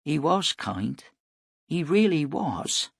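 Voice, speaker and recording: An innocent, wide-eyed young boy from a novel, acted by a male narrator. The pitch sits well above the man's own and moves in animated swoops, earnest and excitable, in a dry recording. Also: slow, vocal fry, slightly rough, dry recording, full character voice.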